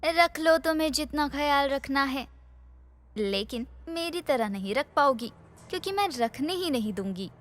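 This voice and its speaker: Woman acting scheming high-voiced elder sister-in-law. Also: lively intonation, normal speed, faint music behind. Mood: sly, plotting. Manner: sly, sugary before others, plotting when alone. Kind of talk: dramatised Hindi moral story acting.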